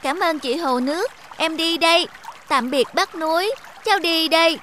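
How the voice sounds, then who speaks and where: A woman's voice: very high-pitched, normal speed, pushed and bright, slight background noise. A woman voicing an earnest, emotional young stream spirit who yearns and pleads, in a children's cartoon fable. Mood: grateful.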